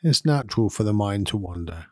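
A man leading a guided meditation in a calm, soothing, unhurried manner with long pauses between phrases. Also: fairly low pitch, no room sound, studio quality. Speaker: meditation guide and podcast host. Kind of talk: guided meditation, gentle instruction.

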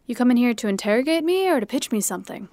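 A deadpan female editor-in-chief speaks curtly and coolly in an audio drama. Her voice sits a bit high for a woman, in a dry recording with no room sound. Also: very fast, no echo. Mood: dry, sardonic. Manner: deadpan, curt.

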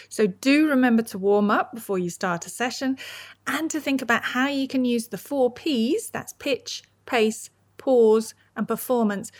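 A woman's voice: on the high side, no room sound, studio quality. A woman hosting a podcast, addressing her guest and listeners in a presenting style. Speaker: podcast host. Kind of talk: podcast hosting, interview and scripted outro.

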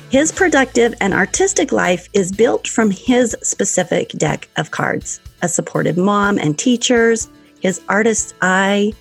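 A woman's voice: mid-range voice, vocal fry, breathy edge. A woman hosting a podcast, reading an upbeat introduction and then interviewing her guest with enthusiasm. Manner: enthusiastic, warm praise with laughter. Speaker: podcast host.

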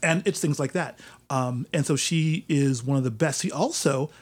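A man explaining in a relaxed conversational way, posing questions to himself and then answering them. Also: mid-range voice, normal speed.

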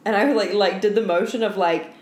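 A woman's voice: medium pitch, steady tone, brisk, a little mellow. A tired young woman co-hosting a podcast, telling stories casually with slangy, sweary phrasing and lots of "like". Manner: slangy, sweary, heavy use of "like". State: tired from being up all night. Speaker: podcast co-host. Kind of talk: casual chat, telling work anecdotes. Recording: echoey room with clear background noise.

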